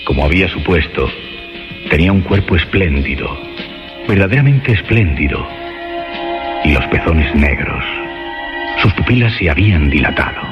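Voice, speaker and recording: Deep, expressive Spanish suspense narrator. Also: male voice. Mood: sensual, suspenseful. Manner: measured, ominous storyteller. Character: grave male narrator of a suspense tale. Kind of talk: dramatic scripted narration, suspense radio play. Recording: reverberant, dull radio sound with music.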